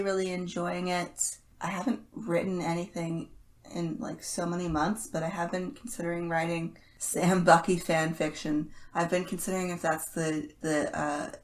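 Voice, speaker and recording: A podcast co-host chatting in a casual, joking fan style. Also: mid-range voice.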